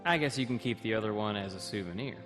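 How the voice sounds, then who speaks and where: A man's voice: lowish voice, fast, fairly bright tone, faint music behind, natural voice. A man voicing a candid, wary young junior officer in a dramatic comic dub. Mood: casual, curious.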